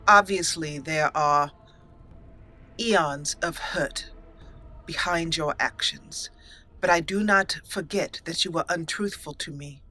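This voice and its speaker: A resolute young elf mage, as a woman puts her on speaks in a bright, ringing tone. She talks in a measured, solemn manner, choosing her words with care. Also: deep voice, exaggerated voice. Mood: reproachful. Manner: measured, solemn role-play reading.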